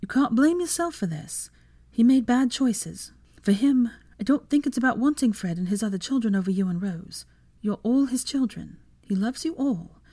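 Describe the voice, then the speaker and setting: Lady reading as protective mom in a story, gentle earnest voice, bit higher, measured. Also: expressive. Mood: consoling. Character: firm, consoling mother, grown woman, protective of her daughter. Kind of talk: dramatised fan fiction reading.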